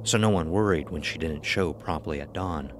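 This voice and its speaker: A man narrating a scripted horror story in a measured, ominous manner. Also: extremely low pitch, noisy background.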